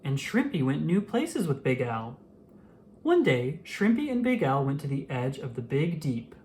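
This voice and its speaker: An expressive storyteller reads a picture book aloud with a mid-pitched, very animated voice. The delivery rises and dips widely to act out the tale. Faint noise sits behind the reading. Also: unhurried. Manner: animated, acts out the dialogue.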